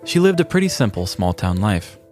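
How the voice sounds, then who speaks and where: A man's voice: lowish voice, sing-song, fairly quick, a little mellow, faint music behind. A man hosting a podcast, reading scripted comedic narration in a mock-dramatic, tongue-in-cheek storytelling manner. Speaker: podcast host.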